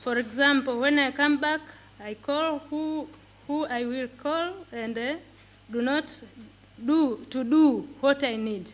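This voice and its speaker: Slow-speaking Tanzanian woman, halting delivery. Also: high-pitched. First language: Swahili. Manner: halting, repeating words as she searches for them. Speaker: pre-primary teacher and conference speaker. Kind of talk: prepared talk in simple English.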